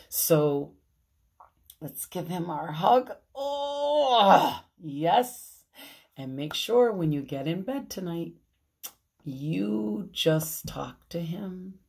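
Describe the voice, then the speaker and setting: A middle-aged woman reading a bedtime story to children, expressively, with theatrical drawn-out emphasis and dramatic pauses. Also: low-pitched, dark tone. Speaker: pastor reading children's stories. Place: small room at home, indoors. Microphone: phone at arm's length.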